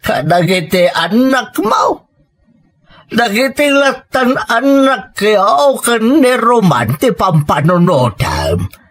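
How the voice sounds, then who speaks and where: A man's voice: high voice, very animated, slow, fairly bright tone, full character voice. A man playing a blunt, protective old grandfather in an Ilocano audio drama, with a Filipino accent and an emphatic, reproachful delivery. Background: music.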